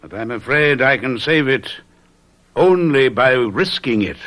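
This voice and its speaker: An actor playing a calm, self-assured gentleman detective in a radio mystery drama, speaking in a measured, soothing way. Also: mid-range voice, heavy background noise, natural voice. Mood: calmly persuasive.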